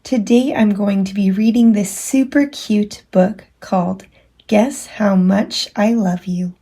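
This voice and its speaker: Female storytime teacher reading to young children: expressive, slow-paced, lightly breathy and husky. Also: medium pitch. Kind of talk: children's storybook read-aloud.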